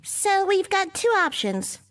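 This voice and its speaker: A woman's voice: extremely high pitch, sing-song, slow, fairly bright tone, soft background music, full character voice. A woman voicing a bossy, prim, teasing young witch girl in a visual novel game.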